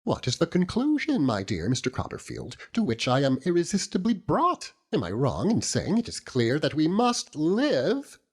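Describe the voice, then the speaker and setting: A man's voice: fairly high pitch, huge pitch swings, moderate pace, hardly any pauses, darkish tone, husky, pitched above the performer's own voice, full character voice. A man voicing an earnest, self-important genteel wife and mother in an audiobook, lecturing in a pompous, declamatory way. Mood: argumentative, insistent. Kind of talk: audiobook character voice.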